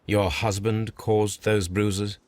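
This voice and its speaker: A man voicing a composed, probing Victorian gentleman detective with an English accent and a formal, courteous, measured delivery in a video game. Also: lowish voice, little pitch movement, no room sound. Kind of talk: video game cutscene acting.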